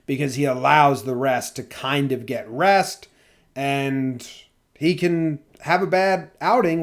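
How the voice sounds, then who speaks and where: A man with an American accent hosting a podcast, talking casually about sports. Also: mid-range voice. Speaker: podcast host.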